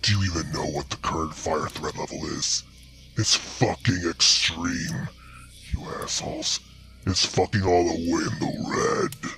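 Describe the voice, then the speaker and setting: A man doing a raspy, growling old bear. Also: low voice, fairly even intonation, unhurried, sharp tone, soft background music, voice pushed down, exaggerated voice. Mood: scolding. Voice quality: rough, raspy. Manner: scolding, menacing, profane. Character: gruff ragged old male bear in costume.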